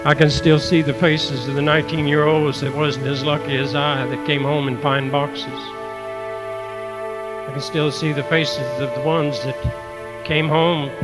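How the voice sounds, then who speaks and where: A man in his eighties with a rural American accent, recalling his past in a halting, grave way as a veteran. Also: a little high, flat delivery. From rural United States. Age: 80s. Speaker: war veteran. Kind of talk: personal reminiscence. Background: music.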